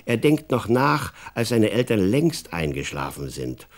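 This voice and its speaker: Slow, swooping, husky storyteller. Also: male voice, on the low side. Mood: hushed, suspenseful. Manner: expressive, deliberate storytelling. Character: expressive male storyteller who also talks with the boy. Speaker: narrator in a radio play. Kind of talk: scripted children's story narration, dramatic.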